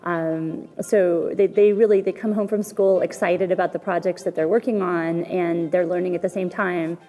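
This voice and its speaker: A woman's voice: lowish voice, steady tone, normal speed, warm tone, in a large hall, soft background music. A woman parent talking in a casual interview, chatty, with fillers.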